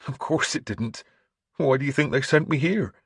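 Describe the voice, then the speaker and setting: A man voicing a gentle, earnest scholarly bishop in an audiobook, with mild manners and a preacher's fervour. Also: mid-range voice, moderate pace, husky, dry recording, studio quality, voice pushed up. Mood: amused, candid. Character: gentle, earnest scholarly priest turned bishop, quietly sly.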